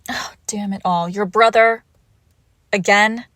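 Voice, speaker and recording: A woman playing a suave, controlled villainous ex-lover in an intimate audio roleplay, with measured formal phrasing and soft breathy pauses. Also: mid-range voice, steady tone, no room sound, clean studio sound. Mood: exasperated, protective. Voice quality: creaky, often dropping into whisper. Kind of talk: scripted romantic audio roleplay, intimate and slow.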